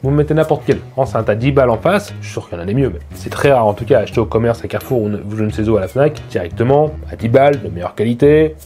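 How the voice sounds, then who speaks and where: A man's voice: medium pitch, lively intonation, racing, hardly any pauses, warm tone. A man with a head cold giving a casual unboxing review, chatty and self-mocking. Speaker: tech reviewer. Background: faint music from the speaker being tested.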